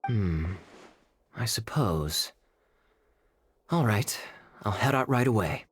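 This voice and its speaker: A man playing a suave, sociable young cavalry captain, smooth-talking and casual, in an anime game. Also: fairly low pitch, lively intonation, takes it slowly, no room sound, full character voice. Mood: wry, reluctant.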